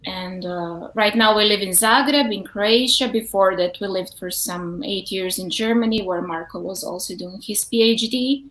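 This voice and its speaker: A woman who teaches tango, introducing herself on a panel in a relaxed way, with fillers. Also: mid-range voice, moderate pace, hardly any pauses. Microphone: video call. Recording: echoey room on a video call.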